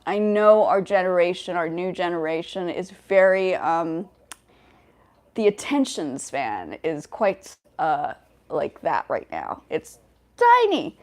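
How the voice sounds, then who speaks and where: A woman's voice: fairly low pitch, very animated, slow. A young woman hosting a casual livestream, talking in a rambling way with many fillers and restarts. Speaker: livestream host.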